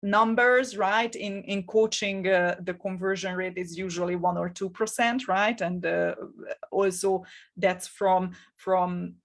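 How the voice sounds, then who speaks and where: A middle-aged woman from Hungary speaks English with a Hungarian accent, and her voice carries a gravelly fry. She coaches in an upbeat, encouraging way, restarting phrases and filling gaps with "uh". Her pitch sits in the ordinary middle range for a woman. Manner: energetic, encouraging, repeats words and fills pauses with uh. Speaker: marketing coach. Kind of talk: motivational webinar talk.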